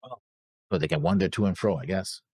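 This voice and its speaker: Low-pitched man chatting, dry sound. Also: big pitch swings, brisk, creaky, darkish tone, breathy edge, dry recording. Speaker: reaction show co-host. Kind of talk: casual discussion.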